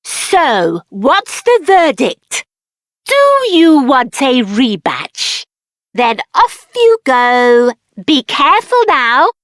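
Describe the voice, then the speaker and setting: A small, boastful video game gatekeeper, plucky and cocky. The high voice bounces playfully up and down and takes its words very slowly. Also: female voice, big pitch swings, fairly bright tone, no echo, studio quality, exaggerated voice. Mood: cocky, challenging. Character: plucky, boastful little gatekeeper challenging travellers to fight. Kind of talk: video game character acting.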